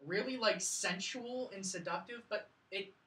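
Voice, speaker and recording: A woman's voice: mid-range voice, unhurried, fairly bright tone. A woman chatting casually as a film show co-host, recapping and critiquing in an exasperated way, recorded in an echoey room away from the mic. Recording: roomy echo, distant from mic.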